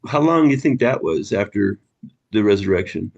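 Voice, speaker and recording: Man, mid pitch, gravelly, easygoing discussion leader on a video call. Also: expressive, normal speed, a little mellow, dull audio. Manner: friendly, chuckling, thinking aloud with restarts. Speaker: study group facilitator. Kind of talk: casual group discussion.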